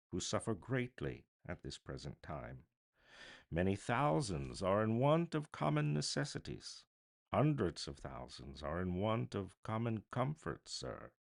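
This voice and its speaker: A man voicing a courteous, earnest gentleman collecting for charity in a storybook, speaking politely and formally with a pleading manner. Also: medium pitch, gravelly, pitched above the performer's own voice. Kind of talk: storybook character reading.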